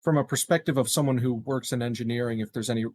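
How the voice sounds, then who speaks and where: A man with an average-pitched voice plays a tabletop roleplaying game. He talks casually and a bit tentatively, working out his plans aloud. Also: fairly even intonation, fast talker, a little mellow.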